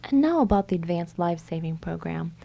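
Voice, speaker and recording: A woman reading scripted lines as a radio host, in a formal, measured way. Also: on the low side, expressive, gravelly, warm tone. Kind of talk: scripted college radio interview and announcing.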